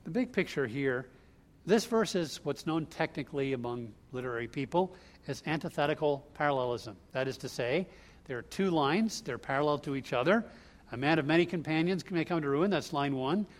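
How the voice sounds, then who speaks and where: A man's voice: fairly high pitch, lively intonation, a little fast. A man preaching an expository sermon, explaining scripture line by line in a conversational way. He grew up in the US Northeast.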